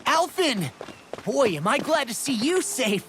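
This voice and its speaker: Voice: high-pitched, big pitch swings, a little slow, a bit bright, in a large hall, slight background noise, heavily acted. An actor playing an eager, brash teenage boy in an anime-style video game. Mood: relieved.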